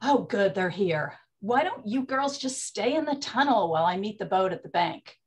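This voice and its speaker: A woman's voice: mid-range voice, animated, moderate pace, lightly acted. An actress playing a bossy, slangy woman bootlegger in a 1920s comedy radio play. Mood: evasive.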